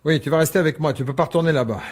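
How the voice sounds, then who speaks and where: Middle-aged dad in a radio play, husky, quick halting speech, protective n emphatic. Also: male voice, on the high side, a little mellow. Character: hesitant but protective father, quickly indignant. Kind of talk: French radio drama acting.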